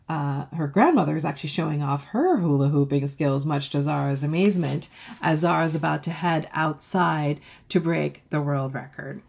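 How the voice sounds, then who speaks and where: Low-voiced woman reading a children's book. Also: vocal fry, husky. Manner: expressive, addressing young listeners. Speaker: author reading their own book. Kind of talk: children's storybook read-aloud.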